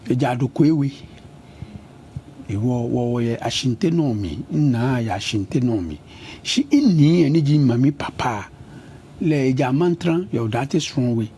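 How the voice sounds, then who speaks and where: A man's voice: medium pitch, measured pace, a little hiss or hum. A middle-aged Ghanaian man with a Ghanaian accent, giving an interview and switching between English and Ga. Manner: switches between English and Ga, lists places at an even pace. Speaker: interview guest, politician. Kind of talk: conversational interview.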